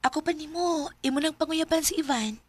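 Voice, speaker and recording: A woman with a Filipino accent playing a gentle, earnest, lovelorn teenage schoolgirl in a radio drama. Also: high-pitched, a little fast, close to an everyday voice. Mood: helpful, teasing. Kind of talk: Philippine radio drama acting.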